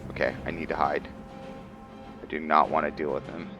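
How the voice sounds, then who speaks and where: A man's voice: rumbling low, flat delivery, normal speed, vocal fry, muffled recording, music in the background. A man giving casual, hesitant commentary on a video game, muttering asides to himself and sometimes reading text aloud. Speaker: gamer hosting a roleplay playthrough.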